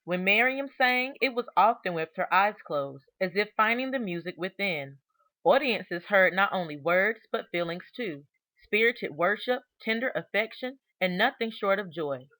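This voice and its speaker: A woman reading a children's biography aloud in an expressive, deliberate storytelling manner, stressing key words. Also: medium pitch, no echo, poor audio. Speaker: storybook reader.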